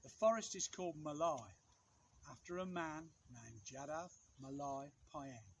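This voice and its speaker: A man reading a children's picture book aloud in an expressive way, with measured, dramatic phrasing and pauses for effect. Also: on the high side, a little hiss or hum. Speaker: storybook reader, outdoor teacher.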